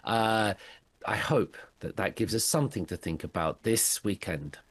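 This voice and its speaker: A man's voice: lowish voice, a little slow, breathy edge, no room sound. A man talking casually as a radio guest, chatty and self-deprecating. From Britain. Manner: chatty, self-deprecating, stumbles over foreign words.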